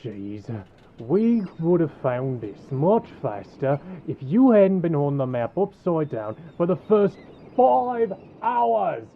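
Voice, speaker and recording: A man playing a grumbling, exasperated treasure hunter with a gravelly voice in a comic audio drama skit. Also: a little high, very animated, slow, pauses often, narrow, tinny audio, a little hiss or hum, heavily acted.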